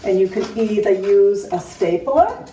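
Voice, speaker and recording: A woman's voice: mid-range voice, expressive, a little slow, mellow. A woman teacher giving simple step-by-step craft instructions to young children. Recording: roomy, distant mic, faint noise.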